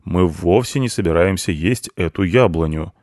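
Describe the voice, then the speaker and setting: A man's voice: sits low, normal speed, darkish tone, no room sound, studio quality, lightly acted. A man voicing a stern, pompous beaver foreman in an animal story, speaking like a grandiose official. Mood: stern, dignified.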